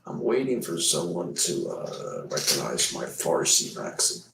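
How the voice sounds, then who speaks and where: Young man in his twenties, very low gravelly voice, slow drawl, casual livestream rambling, echoey room away from the mic. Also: huge pitch swings, a bit bright, distant mic, slight background noise. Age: 20s. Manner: rambling, meandering and self-deprecating.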